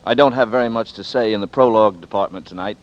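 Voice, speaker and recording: Measured low-voiced radio host, man. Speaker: host and star. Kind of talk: scripted radio introduction. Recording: dull vintage radio recording.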